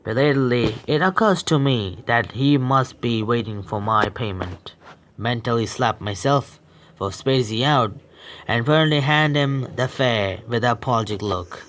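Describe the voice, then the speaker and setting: A young man reading a horror visual novel aloud, stumbling and correcting himself with quick apologies and reactions. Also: medium pitch, fairly bright tone, a little hiss or hum.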